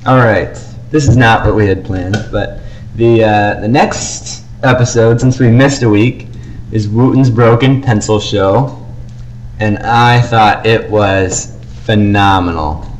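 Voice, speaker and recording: A man co-hosting a podcast, giving casual, measured reviews in a conversational, hedging way. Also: fairly low pitch, a little hiss or hum, poor audio. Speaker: podcast co-host.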